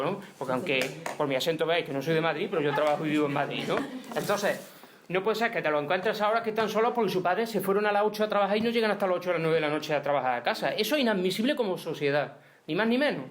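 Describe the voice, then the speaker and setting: Fast emphatic man giving a talk. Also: fairly high pitch, a little hiss or hum. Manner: emphatic, many tag questions. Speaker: union speaker. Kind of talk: conference talk. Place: conference hall.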